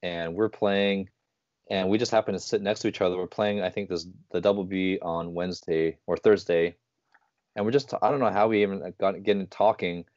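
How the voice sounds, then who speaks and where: A man in his thirties hosting a casual interview, telling stories in quick, animated bursts. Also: sits low, no room sound, muffled recording. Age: 30s. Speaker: podcast host.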